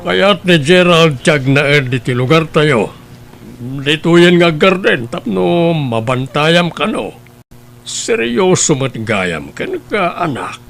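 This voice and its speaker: A man's voice: on the high side, big pitch swings, slow pace, vocal fry, fairly bright tone, soft background music, exaggerated voice. A man playing a grave, questioning older father of a grown daughter in a radio drama. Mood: measured, persuading.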